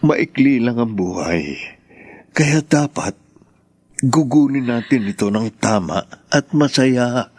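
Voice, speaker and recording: An actor playing an earnest, gentle grown son with a measured manner in a Filipino radio drama. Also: male voice, medium pitch, lively intonation, slightly rough, dry recording. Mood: earnest pleading. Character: earnest adult son, gentle peacemaker urging forgiveness.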